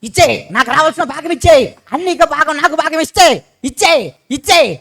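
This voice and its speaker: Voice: high voice, fast talker, fairly bright tone. A performer voicing a spoiled, impatient young son in a children's puppet show, whining and insisting on what is wanted. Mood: demanding, impatient. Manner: whiny, insistent, repetitive demanding.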